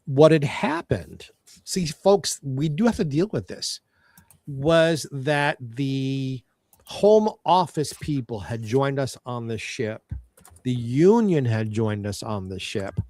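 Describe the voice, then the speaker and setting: A man co-hosts a talk show and tells backstage stories with theatrical relish. His voice is mid-pitched. It is recorded dry and close. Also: animated, slow pace, a little mellow, no room sound. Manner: theatrical storyteller, showbiz flair. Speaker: co-host. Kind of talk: casual chat.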